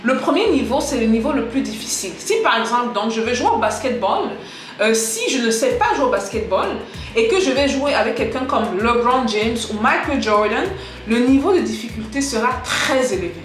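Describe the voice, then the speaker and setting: Woman, teacher, lively and direct, fairly high voice, echoey room, faint music behind. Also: normal speed. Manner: lively, direct, addresses viewers as "les gars"; switches into English example sentences. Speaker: English teacher on a video channel. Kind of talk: casual spoken lesson to camera.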